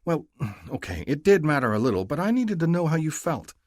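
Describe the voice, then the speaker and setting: A man's voice: a little high, sing-song, a little fast, dry recording, clean studio sound, pitched above the performer's own voice. A man voicing an affectionate young human woman who speaks in an emphatic, rising way. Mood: earnest.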